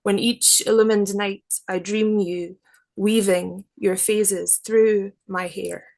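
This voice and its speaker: A woman poet speaking in a deliberate, careful, literary way, as in a reading of her own work. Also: mid-range voice, fairly even intonation, creaky, a little mellow. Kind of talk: measured poetry reading and reflective explanation.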